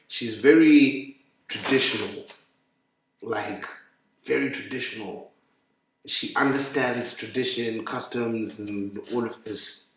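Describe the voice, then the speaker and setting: A man's voice: lowish voice, slight background noise. A young man from Zimbabwe, chatting casually and animatedly, swearing and stumbling over repeated words. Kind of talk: casual chat. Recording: very echoey room, far from mic.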